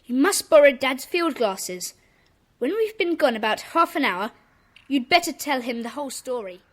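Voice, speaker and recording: High-voiced, bossy young schoolboy leader. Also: female voice, big pitch swings, moderate pace. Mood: decisive. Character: brisk schoolboy leader of a child detective club. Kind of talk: children's audio drama acting.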